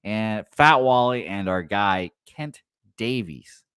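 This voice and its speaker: A man's voice: fairly low pitch, slow, clear, resonant tone, no room sound, clean studio sound. A man hosting a sports betting radio show, reading off a list of names and then calling a playful mock horse race. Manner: rattles off names, then calls the race excitedly.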